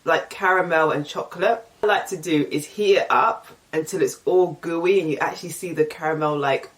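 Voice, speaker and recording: A woman's voice: deep voice, far from the mic. A young woman with an English accent chatting casually and candidly, reading out and answering get-to-know-me questions. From England. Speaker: vlogger.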